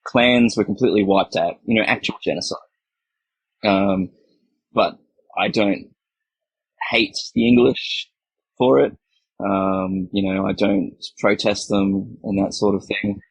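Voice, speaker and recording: Gravelly low man from Scotland, host, measured and agreeable. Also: no echo, rough recording. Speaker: podcast host. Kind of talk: casual podcast discussion.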